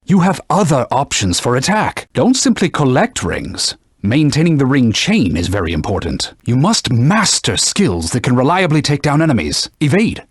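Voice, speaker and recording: A man's voice: a little high, breathy edge, dry recording, clean studio sound, exaggerated voice. A man voicing a haughty magic sword in a video game, mentoring a young knight with pompous, scolding, archaic knightly speech. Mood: exasperated, scolding, then instructing.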